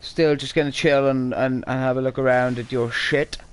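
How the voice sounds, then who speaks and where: A man's voice: mid-range voice, fairly even intonation, normal speed, clean tone, no room sound. A man giving laid-back, casual gameplay commentary as a video host, swearing freely as he goes.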